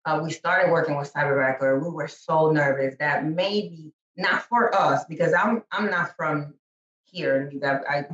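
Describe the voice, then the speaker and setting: A woman's voice: low-pitched, unhurried, fairly bright tone. Chatty woman, Spanish first language, echoey call. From Puerto Rico. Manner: chatty, many fillers and restarts, laughs often. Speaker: guest, business owner. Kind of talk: casual interview. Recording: echoey, distant, dull call audio.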